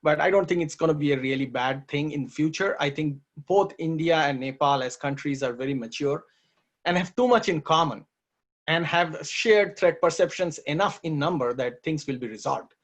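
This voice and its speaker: A man co-hosting a talk show, explaining his points in a measured way. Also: a little high. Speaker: co-host. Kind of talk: conversational talk show discussion.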